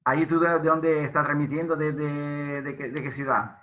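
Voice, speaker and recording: A man's voice: fairly high pitch, flat delivery, moderate pace. A man hosts an online interview, full of eager praise and chatter, often stumbling and restarting his phrases. His voice carries a roomy echo, and the video-call audio sounds dull and muffled. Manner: effusive, chatty, with filler and restarts. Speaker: host. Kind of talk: casual interview hosting.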